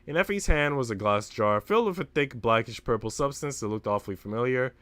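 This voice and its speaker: A man's voice: medium pitch, expressive, brisk, fairly bright tone, dry recording. A man livestreaming a story game, switching between reading narration aloud and slangy, sweary casual chat. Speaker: streamer reading a visual novel aloud. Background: music.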